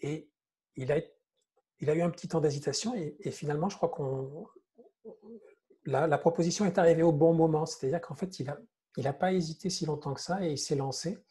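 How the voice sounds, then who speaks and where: A man, an author speaking as a guest on an informal panel, casual and halting, often repeating his words. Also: a little high, warm tone, breathy edge. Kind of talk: informal live panel talk.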